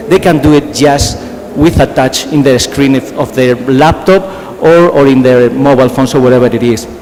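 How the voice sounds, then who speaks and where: A man from Spain speaks English with a heavy Spanish accent, in a slightly high voice that often stops to repeat words and quiz the room. He lectures from a stage, and his voice rings in a large hall with a long tail of reverb. A faint murmur of ambience sits behind him. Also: a little mellow, slight background noise. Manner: halting, repeats words, asks the audience questions. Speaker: conference speaker, physician. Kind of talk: conference presentation.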